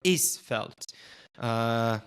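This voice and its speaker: A man whose first language is Lithuanian hosts a casual podcast interview at a middle pitch. He talks thoughtfully and pauses often with filler sounds while he forms his questions. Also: expressive, fairly bright tone, smooth. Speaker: podcast host.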